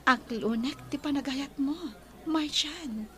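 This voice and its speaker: A woman voicing a tender young woman in an Ilocano radio drama who speaks softly and earnestly. Her voice sits fairly high, with a faint hiss of noise in the recording. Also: slow pace, full character voice. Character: Annabel, gentle young woman in love.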